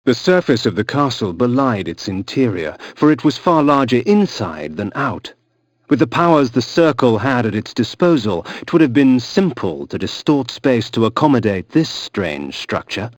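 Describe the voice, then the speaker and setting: A man's voice: mid-range voice, very animated, moderate pace, dry recording, studio quality. An actor playing a brooding, sardonic vampire nobleman who narrates a video game in a grandiose, disdainful, theatrical way. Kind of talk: dramatic scripted game narration.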